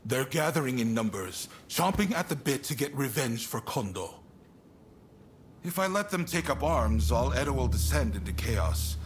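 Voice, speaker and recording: An actor playing a resolute, burdened samurai commander in a video game cutscene, speaking in a measured, plain-spoken way with weary resolve. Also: male voice, mid-range voice, very animated, slight background noise, lightly acted. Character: frank, resolute samurai military commander, burdened but calm. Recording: music behind the voice.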